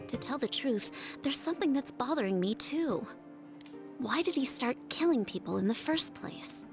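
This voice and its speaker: A woman playing an expressive, earnest teenage girl sleuth in an anime-style video game. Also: sits high, normal speed, over music. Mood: puzzled.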